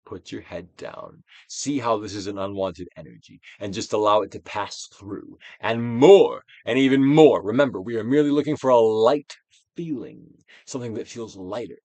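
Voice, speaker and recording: A man giving a dramatic, Shakespearean-style reading of a self-help book, deliberate and theatrical, with drawn-out emphasis. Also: no echo. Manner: theatrical Shakespearean delivery, swinging from hushed to booming. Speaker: audiobook reader.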